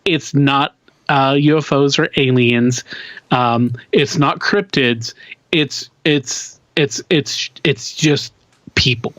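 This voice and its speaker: A man's voice: medium pitch, takes it slowly, a bit bright, no room sound. A man co-hosting a podcast in casual chat, speaking hesitantly and often repeating his words. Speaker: podcast co-host.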